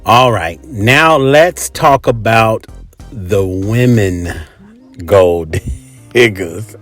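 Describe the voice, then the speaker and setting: A man's voice: fairly low pitch, drawn-out words, fairly bright tone, faint music behind. An American man hosting a casual, opinionated monologue, speaking bluntly and emphatically with swearing and repeated phrases. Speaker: host. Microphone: close microphone.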